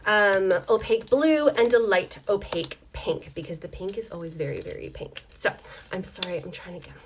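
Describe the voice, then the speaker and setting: A woman's voice: medium pitch, normal speed, slight background noise. A woman hosting a casual livestream tutorial from her home desk, chatting in a rambling, apologetic way with many ums while losing her voice. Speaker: dice maker hosting a live tutorial.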